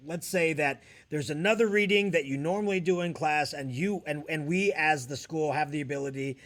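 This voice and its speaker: A man presenting a step-by-step software tutorial as a trainer, talking over a screen recording. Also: on the high side, moderate pace.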